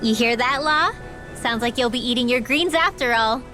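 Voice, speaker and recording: A woman playing a sharp-tongued, distrustful young girl in a video game, blunt and snippy. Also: a little high, moderate pace. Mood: teasing, scornful. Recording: music underneath. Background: background music.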